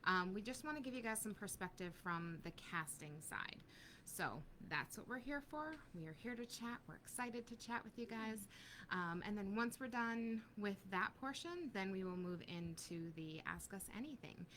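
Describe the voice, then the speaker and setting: A woman's voice: mid-range voice, expressive, normal speed, a bit bright, slight background noise. A woman hosting a casual casting-advice chat, talking easily and laughing often. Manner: chatty, laughs often, plays out little skits. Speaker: casting team host.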